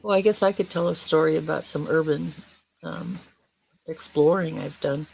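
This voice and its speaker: A woman's voice: deep voice, steady tone, normal speed, gravelly, darkish tone, a little hiss or hum, poor audio. A woman chatting casually on a podcast, self-effacing and easygoing in how she talks with the others. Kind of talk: casual chat.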